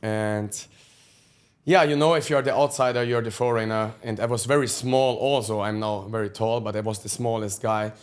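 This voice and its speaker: A man's voice: on the low side, moderate pace, clean tone. A man. He is a podcast guest telling his life story candidly in blunt, profane English with loose grammar. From Austria. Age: 30s. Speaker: guest, fight coach.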